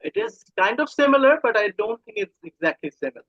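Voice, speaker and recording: A man's voice: very high voice, very animated, quick pace, no room sound, highs cut off. A man from Bangladesh with a Bangladeshi accent, a native Bengali speaker, talking casually as an interview guest and often repeating himself hesitantly.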